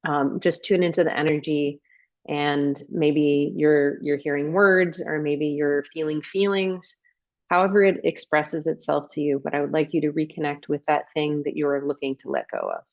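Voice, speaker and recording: Woman, guided meditation, low voice, close dry sound, gentle invitations, pauses between phrases. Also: no room sound, highs cut off. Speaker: meditation guide, wellness coach.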